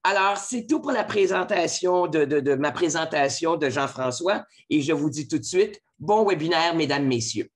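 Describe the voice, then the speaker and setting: A man's voice: a little high, lively intonation, moderate pace, hardly any pauses. A man with a Québécois accent, moderating a webinar and introducing the speaker, hesitant and groping for words. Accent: Québécois French. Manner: hesitant, forgetful.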